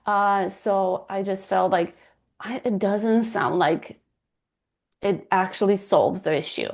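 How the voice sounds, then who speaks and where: A woman's voice: medium pitch, slow, gravelly, a little mellow. A woman in her thirties from Romania with a Romanian accent, a guest fertility coach talking earnestly in an interview, often restarting as she searches for words. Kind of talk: casual interview talk.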